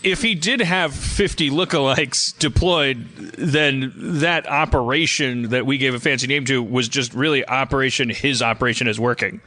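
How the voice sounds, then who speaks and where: A man improvising comedic banter as a panelist, haltingly building up absurd ideas. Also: mid-range voice, sharp tone.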